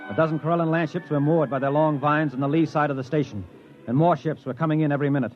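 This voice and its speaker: Casting: man as tough, blunt spaceman, fairly high voice, vintage radio sci-fi, muffled recording with music. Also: steady tone, fast, close to an everyday voice. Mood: grim narrating. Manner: gruff, blunt, tough-talking. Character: hard-bitten, short-tempered human space explorer. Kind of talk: 1950s radio drama acting and narration.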